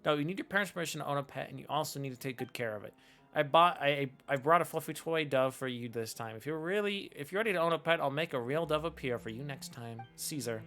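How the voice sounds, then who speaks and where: A young male game streamer with a slightly high, bright and forceful voice. He talks casually in slang, jokey and reactive, with faint music behind him. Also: quick pace. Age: 20s. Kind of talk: casual live game commentary.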